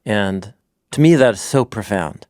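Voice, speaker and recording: A man's voice: lowish voice, a little slow, no room sound, clean studio sound. A man co-hosting a podcast, talking through ideas in an earnest, reflective, conversational way. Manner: earnest, thoughtful, lively emphasis. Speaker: podcast co-host.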